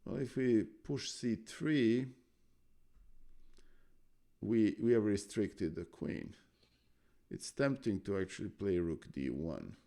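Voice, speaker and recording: A man's voice: fairly low pitch, lively intonation, slow pace, long pauses, dark tone. A man whose first language is Serbian, thinking aloud through chess moves on a live stream, hesitant and full of fillers. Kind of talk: casual chess analysis on stream.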